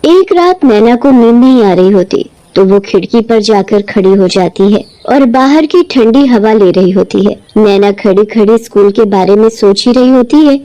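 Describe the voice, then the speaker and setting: A woman narrating an animated children's story in a lively storytelling manner. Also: mid-range voice, moderate pace, hardly any pauses, poor audio. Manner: animated, shifts into character voices.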